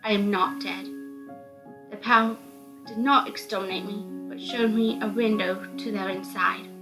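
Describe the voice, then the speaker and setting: Woman doing a dramatic visual novel read, normal pitch, some music behind her. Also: steady tone, moderate pace, low-quality recording. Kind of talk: dramatic narration, reading a visual novel aloud. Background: background music.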